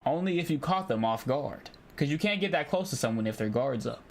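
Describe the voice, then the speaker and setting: A man livestreaming a game, talking to his chat in an animated, slangy way as he thinks aloud. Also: medium pitch, a little fast, creaky. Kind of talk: game livestream, reading dialogue aloud and commenting.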